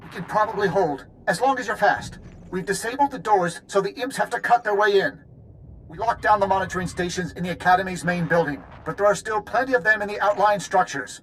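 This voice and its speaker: High-voiced, emphatic military squad leader. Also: male voice, fairly quick, fairly bright tone, a little hiss or hum, low-quality recording. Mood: resolute. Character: embattled Republic squad leader, urgent, loyal and grateful. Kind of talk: cinematic video game voice acting. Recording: music behind the voice.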